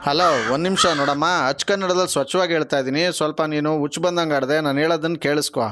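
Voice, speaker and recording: A man's voice: a little high, fairly even intonation, fast, fairly bright tone. A man with a Karnataka Kannada accent hosting a prank phone call in a colloquial, teasing and argumentative way.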